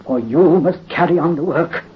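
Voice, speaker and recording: An actor playing a dying French count consumed by vengeance, weak yet commanding. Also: male voice, on the high side, normal speed, natural voice. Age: 50s. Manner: weak but commanding, imperious. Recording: dull, faintly hissy 1930s radio recording.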